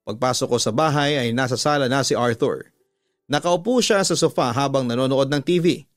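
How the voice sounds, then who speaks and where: A man with a Filipino accent narrating a scripted story as a radio host, measured and deliberate, drawing out the ends of phrases. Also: medium pitch, dry recording. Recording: background music under a dry studio voice. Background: music.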